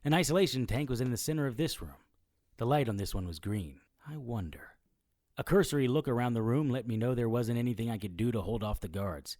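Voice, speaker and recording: An actor playing a wry, self-mocking immortal occult investigator who narrates an audio drama in noir style. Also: male voice, mid-range voice, lively intonation, fairly quick, a little mellow, no room sound, close to an everyday voice. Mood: brooding, puzzled. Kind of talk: scripted first-person audio drama narration.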